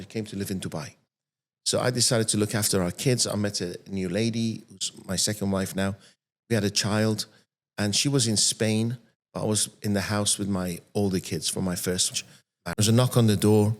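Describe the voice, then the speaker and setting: A soft-spoken man in his fifties from Iran who moved to England as a teenager, telling personal stories as an interview guest. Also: on the low side. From born and raised in Iran, moved to England at 13. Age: 50s. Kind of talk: animated personal storytelling in an interview.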